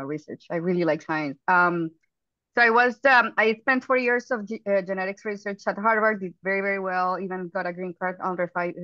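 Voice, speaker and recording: A woman in her thirties with a Colombian accent, a startup founder telling her story on a webinar panel, earnest and quick, with restarts. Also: on the low side, a bit bright, dry recording. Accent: Colombian Spanish. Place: home office, indoors. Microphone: headset. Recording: dry, lo-fi video call audio.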